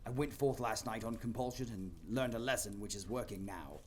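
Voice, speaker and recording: A man acts out a timid, humbled old miser in an audio drama. His low voice is hesitant and deferential, with faint noise behind it. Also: a little fast, natural voice. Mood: humbly earnest. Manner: hesitant, stammering. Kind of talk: theatrical audio play acting.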